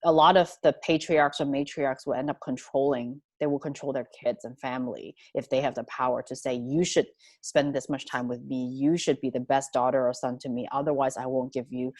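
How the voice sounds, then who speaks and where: Panelist: woman from hong kong, low voice, dry close sound, earnest and thoughtful. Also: fairly even intonation, fairly quick, no room sound. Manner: earnest, thoughtful, many fillers and restarts. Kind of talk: panel discussion answer.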